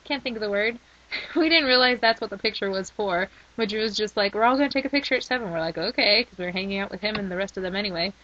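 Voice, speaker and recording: A young woman rambling in a casual video diary, her voice worn from a week of shouting. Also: mid-range voice, fast. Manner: chatty and rambling. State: losing her voice. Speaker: vlogger. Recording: slightly dull lo-fi recording.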